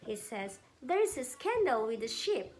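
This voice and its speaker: A woman's voice: a little high, big pitch swings, a little slow, darkish tone. A woman voicing a gossipy, dramatic goose in a storybook. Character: gossipy chattering goose, female.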